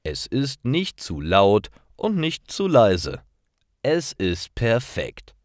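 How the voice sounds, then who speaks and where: A man narrating short scripted stories for language learners, with careful, deliberate enunciation. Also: lowish voice, dry recording, studio quality.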